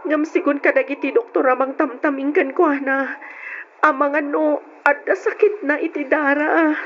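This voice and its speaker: Actress as serious, anxious young aunt in an old radio serial, high voice over a noisy, dull recording. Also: female voice, steady tone, moderate pace, natural voice. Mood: grave, worried. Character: grave, worried young aunt. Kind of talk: old Ilocano radio drama acting.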